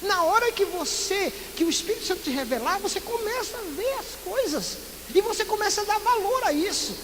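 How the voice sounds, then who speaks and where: Pastor from Recife in northeastern Brazil, high-pitched man, lively sermon with rhetorical questions, long church echo. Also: a little fast. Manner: lively preaching full of rhetorical questions and playful asides. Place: church sanctuary. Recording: echoey church hall with loud hiss.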